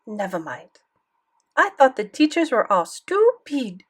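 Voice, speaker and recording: A woman's voice: medium pitch, lively intonation, a little slow, close to an everyday voice. A woman voicing a defensive schoolboy who is eager for approval, telling his story in a colloquial, confiding way as audiobook narration. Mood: evasive, defensive. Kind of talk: first-person audiobook narration.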